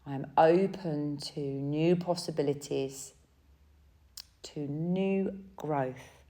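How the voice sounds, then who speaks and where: A woman leading a guided relaxation as a yoga nidra teacher, speaking gently and soothingly in short phrases with pauses between them. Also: low-pitched.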